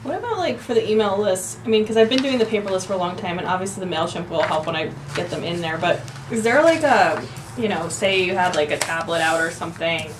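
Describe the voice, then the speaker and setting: A woman attending a seminar who asks halting, searching questions from the audience, heard from far off in a roomy space. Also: fairly low pitch, lively intonation, background noise. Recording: roomy, distant audience pickup.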